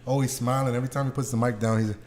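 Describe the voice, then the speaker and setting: A man's voice: mid-range voice, little pitch movement, racing, a little mellow, a little hiss or hum. A man hosting a podcast in a casual, slangy, profane way, chatting and interviewing. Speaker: podcast host.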